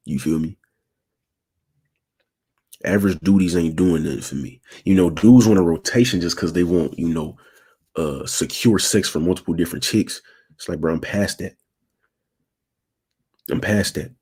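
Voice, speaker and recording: A man in his twenties with a raspy voice and a sore throat, talking casually to viewers on his livestream and checking in with slangy asides. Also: low-pitched, dark tone. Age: 20s. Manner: slangy, keeps asking "you feel me". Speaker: channel host.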